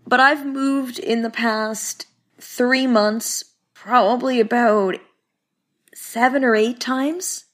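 Woman, comedy podcast host, dry sarcasm, slight rasp, worn out, voice on the high side. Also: steady tone, takes it slowly. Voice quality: getting raspier. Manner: dry, mocking, puts on silly voices for bits. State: exhausted. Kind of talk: sarcastic comic monologue.

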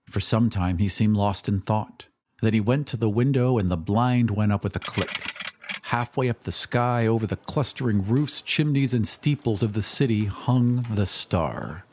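A man's voice: fairly low pitch, a little mellow. A man giving a dramatic scripted narration of a story, as in an audio drama. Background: music.

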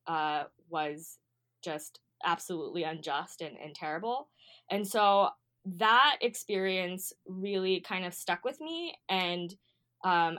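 A woman's voice: fairly low pitch, a bit bright. A young American woman, a guest on an interview show, answering in a hesitant, filler-heavy way. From United States. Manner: hesitant, many ums and restarts. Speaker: guest, student advocate.

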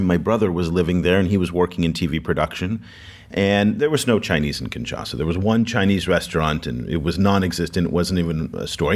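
A man with a low voice hosts a podcast in easy conversation. He tells stories at a loose, unhurried pace, with the odd "uh" along the way. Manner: relaxed, storytelling with frequent fillers. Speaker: podcast host.

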